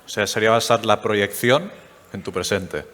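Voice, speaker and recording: A man conducts a stage interview in a low-pitched voice, pausing often with fillers as he works toward his questions. The sound carries a long hall reverb. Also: a bit bright. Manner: hesitant, with many fillers. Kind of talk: stage interview at a tech event. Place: event stage.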